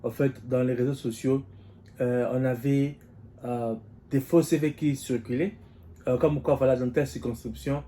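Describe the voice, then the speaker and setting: A man from DR Congo, giving solo political commentary in a measured way, thinking aloud and posing rhetorical questions. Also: medium pitch, fairly even intonation, mellow. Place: living in the United States.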